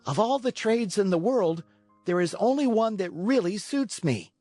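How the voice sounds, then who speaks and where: Narrator doing a high cheeky puppet boy from a storybook, bratty and defiant, really animated, music in it. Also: normal speed, no echo, lightly acted. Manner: cheeky, petulant. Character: Pinocchio, defiant impudent boy wooden marionette.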